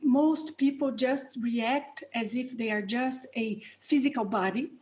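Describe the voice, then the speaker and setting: A woman's voice: on the high side, a little slow, a little mellow. Brazilian-accented woman, earnest and reflective. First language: Portuguese. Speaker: guest researcher and instructor. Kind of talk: interview answers.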